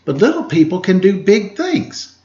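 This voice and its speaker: A man's voice: high-pitched, big pitch swings, normal speed, mellow, voice pushed up. A man voicing an eager little boy who dreams big, in a children's storybook reading. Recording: roomy, dull, faintly noisy home recording.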